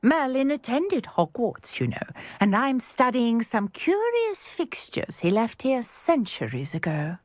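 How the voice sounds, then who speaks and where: A spirited historian witch with a lively, swooping voice. She is brisk, articulate and wry, as in a fantasy game. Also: fairly high pitch, no echo. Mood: wry, enthusiastic. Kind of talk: video game dialogue.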